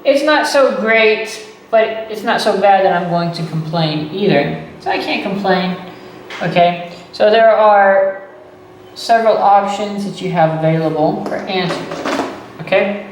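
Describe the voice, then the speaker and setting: A man, teaching beginner English slowly and patiently, repeating phrases and checking in with "okay" and "right". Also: high-pitched. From Texas. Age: 30s. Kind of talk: slow, deliberate beginner English lesson. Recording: echoey room, voice far from the mic, faint noise.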